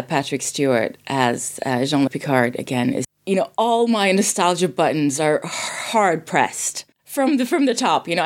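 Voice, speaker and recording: A woman in her thirties from Sweden, a native Swedish speaker, chatting casually as a podcast guest. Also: sits low. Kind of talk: casual chat.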